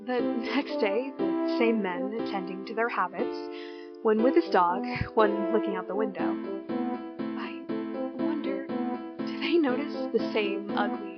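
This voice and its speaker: A young woman playing a bored small-town teenage girl in an audio drama, talking slowly and wistfully. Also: mid-range voice, natural voice. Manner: slow, wistful, turning wondering. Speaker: lead character and narrator. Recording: dull lo-fi recording with music.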